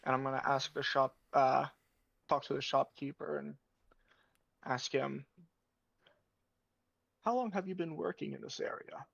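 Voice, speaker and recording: Man, casual game talk, creaky voice, slow and tentative, dry slightly dull recording. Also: mid-range voice, a bit bright, no room sound. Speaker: player in a tabletop game. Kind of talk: casual game talk, describing his character's actions.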